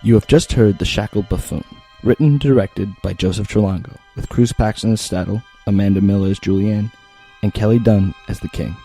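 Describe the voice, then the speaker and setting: A man reading scripted closing credits as an announcer, in a husky voice with music behind him. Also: lowish voice, a little fast, hardly any pauses, warm tone, low-quality recording.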